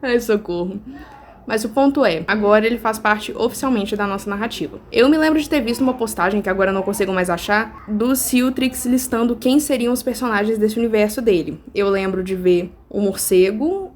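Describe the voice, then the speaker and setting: A woman's voice: medium pitch, a little hiss or hum. A woman with a Brazilian accent hosting an informal video commentary, explaining casually with playful asides.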